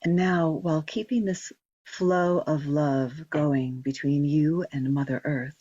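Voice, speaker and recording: A woman leading a guided meditation, giving slow, gentle instructions with long pauses in a soft, breathy voice. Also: deep voice, steady tone, no room sound. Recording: dull, muffled lo-fi recording.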